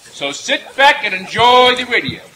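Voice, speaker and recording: High-pitched, animated radio show emcee man. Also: normal speed. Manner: showman's projected patter. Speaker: master of ceremonies. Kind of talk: old-time radio variety show hosting. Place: outdoor night stage in woods, live audience. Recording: noisy outdoor stage, crowd.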